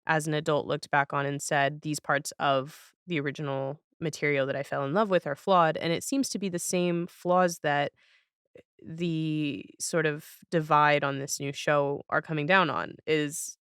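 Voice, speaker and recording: A woman's voice: sits low, fairly even intonation, moderate pace, fairly bright tone, clean tone, no room sound, studio quality. A woman hosting a casual podcast interview, speaking in a reflective, agreeable and informal way.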